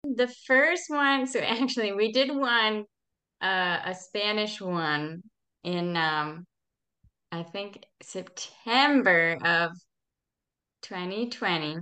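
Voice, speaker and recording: A woman speaking as an interview guest in casual, halting conversation, often correcting herself as she explains. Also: medium pitch, bright, forceful tone. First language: English. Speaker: podcast guest, language club organizer.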